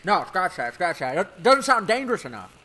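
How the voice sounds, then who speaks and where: A bright, sharp-edged male wise guy wheedles in 1920s gangster patter. He is a cocky, chummy smuggler in a radio play, with faint noise behind. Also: high voice, very animated, a little fast, heavily acted. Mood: eager, excitable. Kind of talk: old-time radio gangster acting.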